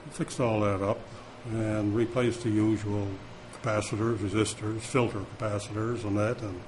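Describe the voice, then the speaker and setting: A man with a somewhat low voice talks through his restoration work in a relaxed, unhurried way. He stops often with fillers, as if thinking aloud. Faint noise hangs behind his voice. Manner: relaxed, hesitant with frequent fillers. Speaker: radio restorer. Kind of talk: casual spoken account.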